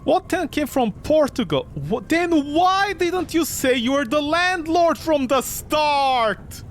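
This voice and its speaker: A man's voice: very high voice, animated, measured pace, slight background noise. A man giving sarcastic, nitpicking gameplay commentary as he streams a game. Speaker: streamer playing and commenting on a horror visual novel. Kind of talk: gameplay commentary mixed with reading dialogue aloud.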